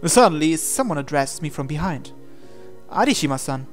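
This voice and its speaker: A man reading a visual novel aloud as expressive narration, in a measured, dramatic way. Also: fairly high pitch. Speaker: gaming channel host reading aloud. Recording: voice over game music. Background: game music.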